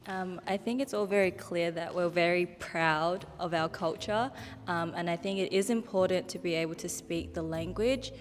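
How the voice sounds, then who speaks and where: A woman's voice: on the low side, normal speed. A woman, a panel guest, speaking earnestly in a conversational discussion and starting her thoughts with "um". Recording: long reverb, faint background music.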